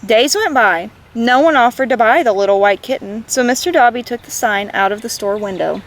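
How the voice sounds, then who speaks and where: A woman reading a storybook aloud to children in an expressive, gentle way, as a read-aloud host. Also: fairly high pitch, fairly quick.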